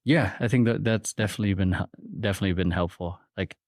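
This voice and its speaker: Hesitant, low-voiced man reflecting. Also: fast, dry recording, studio quality. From Philippines. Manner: hesitant, restarts often, thinks aloud. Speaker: podcast guest. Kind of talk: casual reflective interview.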